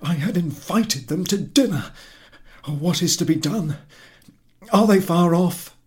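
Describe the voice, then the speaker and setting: A man voicing a flustered, cowardly country gentleman in a story, blustering, bossy and full of panicky exclamations. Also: high-pitched, slow, voice pushed up. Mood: panicked.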